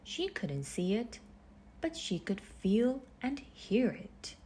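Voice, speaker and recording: A woman reading a children's storybook aloud in measured phrases with deliberate pauses, her husky voice turning breathy as phrases end. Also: on the high side, very animated, dark tone, slight background noise. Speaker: storybook reader. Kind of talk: children's read-aloud narration.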